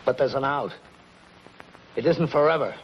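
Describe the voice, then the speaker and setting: Casting: man playing middle-aged gangster fugitive, possessive and demanding, mid-pitched, clipped intensity, muffled old TV soundtrack. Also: normal speed, lightly acted. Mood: intense, insistent. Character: hard, possessive middle-aged killer on the run. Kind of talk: 1950s television crime melodrama acting. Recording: muffled, noisy 1950s television soundtrack.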